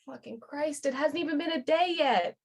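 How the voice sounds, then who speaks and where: Woman acting, fairly high plainspoken character voice. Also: normal speed. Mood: exasperated. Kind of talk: staged reading character acting.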